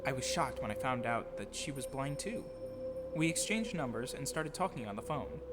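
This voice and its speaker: A man's voice: mid-range voice, expressive, moderate pace. Man narrating his story over music, reverberant. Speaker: narrator, audio drama producer and actor. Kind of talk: scripted autobiographical narration with acted dialogue. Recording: long reverb, clear music behind. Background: music bed.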